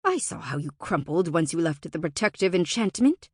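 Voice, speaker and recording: A woman's voice: on the low side, very animated, moderate pace, husky, no echo, studio quality. Woman voicing an indignant, scowling male Fae. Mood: scornful. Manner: forceful, indignant. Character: scowling, frightened, accusing adult male Fae. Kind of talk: audiobook character acting.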